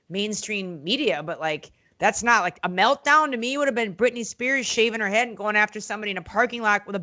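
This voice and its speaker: A woman guest on a podcast, telling stories about her wrestling days in an animated, outspoken way, full of fillers and acted-out quotes. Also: medium pitch. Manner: animated storytelling, acts out quotes in other voices; frequent fillers and restarts, blunt and outspoken. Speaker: podcast guest, former wrestling interviewer and manager. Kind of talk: casual podcast interview.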